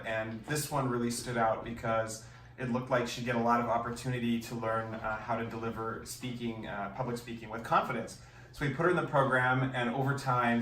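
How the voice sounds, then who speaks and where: A man's voice: medium pitch, a bit bright. A man, a parent, giving an informal testimonial recommendation. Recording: echoey room, distant mic, faint noise.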